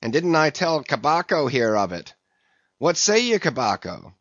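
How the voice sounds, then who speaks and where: Man doing a cocksure, high-pitched sailor. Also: very animated, normal speed, a bit bright, dry recording, dull audio, exaggerated voice. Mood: breezy, insistent. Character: cocksure, outspoken sailor in a whaleboat crew. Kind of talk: classic sea novel audiobook character.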